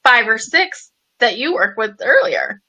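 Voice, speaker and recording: A woman's voice: fairly high pitch, lively intonation, a little slow, bright, forceful tone, husky. A woman host with a young-sounding voice, chatty and affirming, laughing easily. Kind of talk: casual conversational interview.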